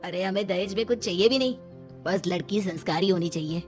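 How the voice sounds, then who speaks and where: A woman's voice: mid-range voice, a little fast, darkish tone, close to an everyday voice. Village husband played by a woman, middle-aged, hospitable, familiar, mildly bossy, cartoon story over music. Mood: agreeable. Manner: familiar, directing, agreeable.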